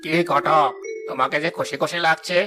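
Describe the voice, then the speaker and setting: A genial village headman, voiced by a man, lower than the matchmaker and friendly. Music plays behind. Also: steady tone, moderate pace, bright, forceful tone. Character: genial village headman, middle-aged father.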